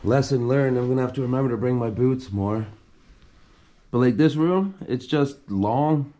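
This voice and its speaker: A man filming himself as he explores a flooded basement in an abandoned house, talking in a low, hushed voice while crouching and climbing over clutter. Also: normal speed. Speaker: urban explorer filming a tour. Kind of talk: hushed exploration vlog narration.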